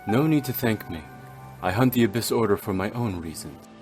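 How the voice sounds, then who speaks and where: A man's voice: fairly low pitch, expressive, fairly quick, music in the background, close to an everyday voice. A man voicing a stoic, cold and brooding warrior in a video game, with solemn, formal, terse delivery. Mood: aloof, cold. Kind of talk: dramatic video game voice acting.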